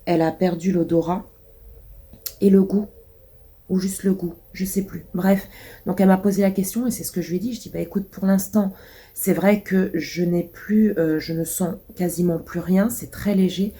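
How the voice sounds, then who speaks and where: Casting woman in her thirties, congested and coughing, low mellow voice, rambling vlog chat. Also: steady tone, normal speed. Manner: rambling, many hesitations. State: congested, stuffy nose. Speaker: vlogger.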